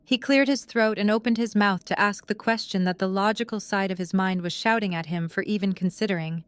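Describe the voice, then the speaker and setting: A woman's voice: medium pitch, fairly quick, clean tone, no room sound. A woman narrator reading scripted dramatic prose for an audio drama.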